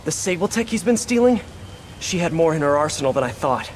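An actor playing a young masked superhero in a video game, earnest, self-critical and given to wisecracks muttered to himself. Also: male voice, fairly high pitch, lively intonation, fairly quick, natural voice. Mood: rueful, frustrated. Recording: loud game music and effects behind.

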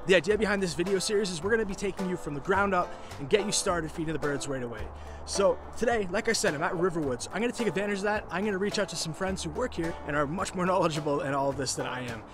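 A man's voice: sits high, quick pace, over music. A man in his thirties introducing an instructional video series to camera as its host, speaking outdoors. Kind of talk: upbeat scripted-style introduction to camera. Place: outdoors in snowy woods.

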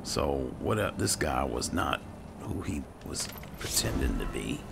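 A man doing in-character gameplay commentary, low-key and musing to himself, over game music. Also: very animated, a little slow, vocal fry. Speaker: gamer playing a roleplay series.

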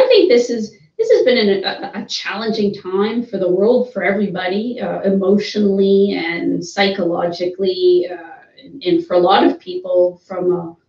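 A woman's voice: fairly low pitch, darkish tone. A woman representing a company talks over a video call in an upbeat way, with lots of fillers and restarts. She sits away from the mic in an echoey office. The sound comes across dull. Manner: upbeat, hesitant with many fillers. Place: office room, seated with a colleague. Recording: roomy, distant, dull video call audio.

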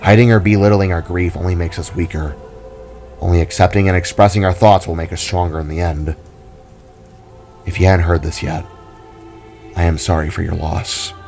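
A man voicing a large, solemn, grieving fantasy warrior who speaks softly and gravely. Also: deep voice, fairly even intonation, normal speed, pauses often, soft background music. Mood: solemn, compassionate. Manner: soft-spoken, grave.

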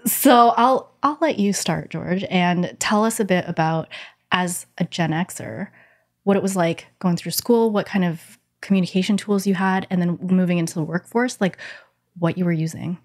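A young woman hosting a podcast, posing questions and steering the talk with lively, quick asides. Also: on the low side. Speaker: podcast host. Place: small cozy studio room, indoors. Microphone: boom-mounted studio mic.

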